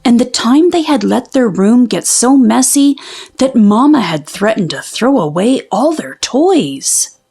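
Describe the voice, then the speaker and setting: A woman reading a children's picture book aloud in an expressive storytelling style. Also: fairly high pitch, measured pace, vocal fry, breathy edge.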